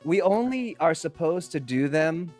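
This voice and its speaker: A man's voice: on the high side, expressive, unhurried, darkish tone, soft background music. A man co-hosting a podcast, chatting loosely with a friend, swearing and joking. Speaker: podcast co-host, author. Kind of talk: casual banter. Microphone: studio mic.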